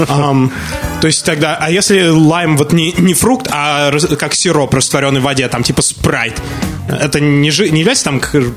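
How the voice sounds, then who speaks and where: A man's voice: a little high, moderate pace, music in the background. A man co-hosting a humorous news chat, joking and retelling stories. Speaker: show co-host.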